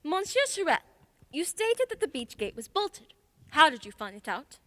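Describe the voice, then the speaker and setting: Woman as sharp lady detective for radio play: high, animated voice, formal, methodical, assured. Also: normal speed, no echo, full character voice. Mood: accusing, assured. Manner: assertive, precise, formal. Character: confident female detective, sharp and methodical, accused of murder.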